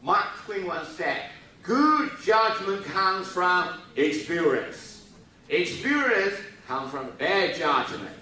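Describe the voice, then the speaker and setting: Lively man in an echoey hall. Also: sits high, slow, far from the mic. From Taiwan. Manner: playful, teasing, prods the audience to respond. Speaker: speaker at a youth forum. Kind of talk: joking speech to a live audience.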